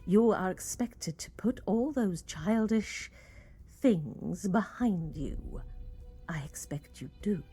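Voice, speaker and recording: A woman's voice: medium pitch, animated, takes it slowly, dark tone, breathy edge, soft background music. A woman playing a stern, haughty senior company employee in a radio play, formal and scolding. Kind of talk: radio play monologue acting.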